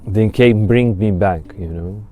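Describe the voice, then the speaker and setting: A man in his thirties whose first language is Portuguese, a music teacher answering interview questions slowly, with many restarts. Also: fairly low pitch, warm tone. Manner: slow, halting, searching for words. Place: outdoors in autumn woods.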